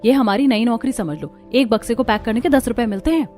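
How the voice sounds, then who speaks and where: A woman's voice: on the high side, fairly quick, a little mellow, soft background music, lightly acted. A woman voicing a selfish, evasive housewife neighbour in a cartoon story, emphatic and dismissive. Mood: amused, boastful.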